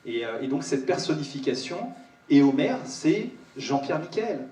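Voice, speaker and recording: A man speaking off the cuff at a live panel, halting and repeating his words as he goes. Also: on the high side, very animated, dark tone. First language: French. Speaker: host of a panel discussion. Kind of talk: spontaneous spoken remarks at a live panel. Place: large cinema auditorium. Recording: echoey hall, distant microphone.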